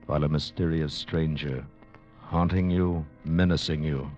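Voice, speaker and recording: A male announcer reading a dramatic radio trailer in a slow, ominous way. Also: extremely low pitch, steady tone, a little mellow. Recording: lo-fi vintage radio broadcast with music.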